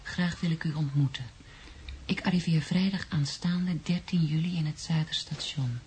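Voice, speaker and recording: Young wife, female actor, radio drama: calm, reassuring, soft mellow lower register. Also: moderate pace, a little hiss or hum, close to an everyday voice. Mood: reading aloud. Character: Simone, calm soothing pregnant young wife. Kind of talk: Dutch radio drama acting.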